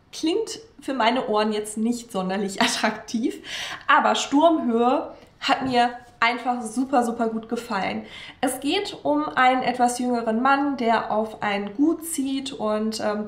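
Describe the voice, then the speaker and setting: A woman's voice: a little high, echoey room. A young woman vlogger talking enthusiastically to camera about her favourite classics, with frequent fillers, recorded at desk distance in front of bookshelves. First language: German. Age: young adult. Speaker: book vlogger. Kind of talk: casual book talk to camera. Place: room with bookshelves behind her.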